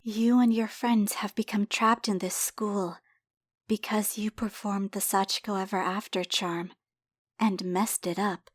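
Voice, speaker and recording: A woman playing the ghost of a dead girl in a game dub, cryptic and coldly taunting, speaking in a measured, ominous, breathy whisper. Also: mid-range voice, fairly even intonation, natural voice. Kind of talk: dramatic video game dub acting. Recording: background music under the voice.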